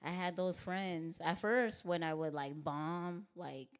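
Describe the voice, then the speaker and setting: A young adult woman comedian from Tucson with a deep, bassy voice, talking candidly in a podcast interview with frequent fillers and easy laughs. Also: slow, clean tone. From Tucson, Arizona. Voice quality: deep voice with bass. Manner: candid, laughs often, frequent fillers like "like" and "you know what I mean". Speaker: stand-up comedian, podcast guest. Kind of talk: casual interview conversation.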